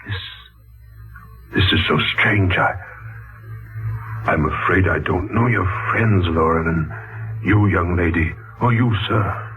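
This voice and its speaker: Through a tinny old radio recording with faint music behind comes an old patriarch returned from the dead. He is solemn and bewildered, speaking slowly and haltingly in dramatic 1940s radio style. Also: low-pitched. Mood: indignant, puzzled.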